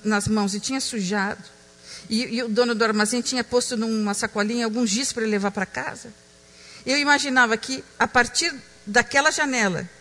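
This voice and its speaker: A woman giving a reflective talk on stage, slow and emphatic, dropping to a hush on key words. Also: a little high, big-room sound. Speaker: speaker.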